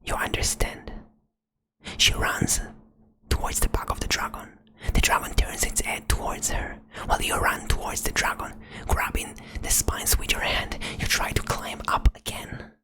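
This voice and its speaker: A woman whispering a fantasy adventure to the listener in the second person, close to the microphone, as ASMR narration. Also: normal speed. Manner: soft whisper throughout, second-person telling. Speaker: storyteller.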